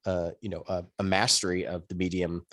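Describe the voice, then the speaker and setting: A man's voice: deep voice, flat delivery, brisk, fairly bright tone, dry recording, studio quality. A man chatting casually and jokingly as a podcast co-host.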